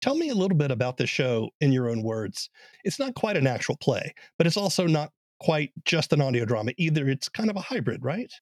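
A man's voice: a little high, big pitch swings, fairly quick, no room sound. A man hosting a casual interview, asking conversational questions as the interviewer. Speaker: interviewer, host.

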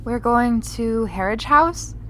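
A woman's voice: a little high, slow pace, a little hiss or hum, low-quality recording. An actress playing an anxious but insistent young woman in an audio drama. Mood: nervous, puzzled.

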